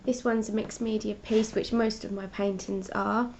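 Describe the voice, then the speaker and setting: A woman's voice: medium pitch, steady tone, moderate pace, darkish tone, a little hiss or hum. A woman artist with a cold and a stuffy voice, chatting casually as she hosts her own live stream and explains her paintings. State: congested with a cold. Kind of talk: casual art chat, showing paintings.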